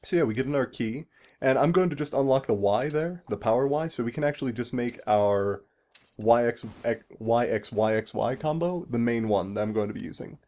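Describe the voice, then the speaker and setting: A man's voice: medium pitch, brisk, darkish tone. A man giving casual, explanatory game commentary as a let's play host, swearing casually now and then.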